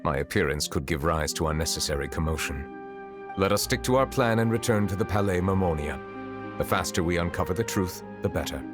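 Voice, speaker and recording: An actor voicing a dignified, reserved high official in a video game, formal and measured. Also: male voice, expressive, over music. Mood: composed.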